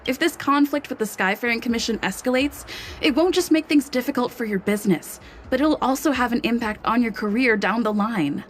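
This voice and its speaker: An actress playing a poised young woman negotiator who speaks with firm, measured persuasion in a video game. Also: a little high, slight background noise, close to an everyday voice.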